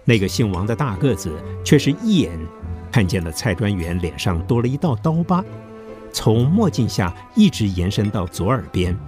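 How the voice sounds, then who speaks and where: A man's voice: lowish voice, a little mellow. A man narrating a scripted story in Mandarin as the storyteller of an audio drama. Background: background music.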